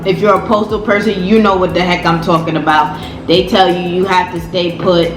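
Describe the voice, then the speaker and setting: Chatty Brooklyn woman in an echoey room. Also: fairly low pitch. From Brooklyn, New York City. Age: 30s. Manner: chatty, repeats phrases, slangy. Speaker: vlogger. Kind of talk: casual story time chat.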